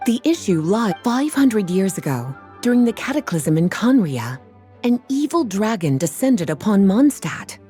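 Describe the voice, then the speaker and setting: A woman voicing a calm, knowing librarian witch in a video game, who speaks in a languid, gently teasing way. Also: medium pitch, unhurried, husky, faint music behind. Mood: explaining.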